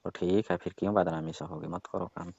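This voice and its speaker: A man's voice: low-pitched, fairly even intonation, fairly quick, creaky, darkish tone, husky. A man, speaking in a pressuring, domineering way in a private phone conversation. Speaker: employer on a call with worker. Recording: muffled phone call recording.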